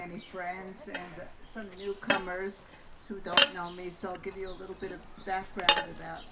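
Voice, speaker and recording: A woman from New York with a New York accent, telling the story of her life at a book launch with wry, chatty jokes. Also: lowish voice. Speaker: author. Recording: distant mic, noisy room.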